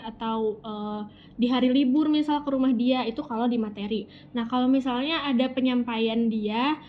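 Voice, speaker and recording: Young woman from west java, chatty uni student, kinda high voice, muffled audio w faint hiss. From West Java (Sundanese region), Indonesia. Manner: chatty, informal Jakarta slang, frequent fillers. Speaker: university student, interviewee. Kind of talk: casual interview answers.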